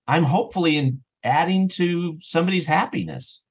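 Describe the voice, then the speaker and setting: A man talking casually as an interview guest about his creative work, telling things in an animated, self-interrupting way. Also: on the high side, normal speed, creaky, breathy edge. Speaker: audio drama writer and actor, interview guest.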